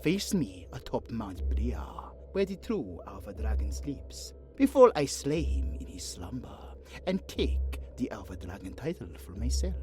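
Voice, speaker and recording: A sly dark elf emissary drawls with mocking courtesy, his pitch swooping wildly. The pacing is slow and theatrical, as in a fantasy audio drama, with soft music behind him. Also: huge pitch swings. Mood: gleeful provocation. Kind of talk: fantasy audio roleplay acting.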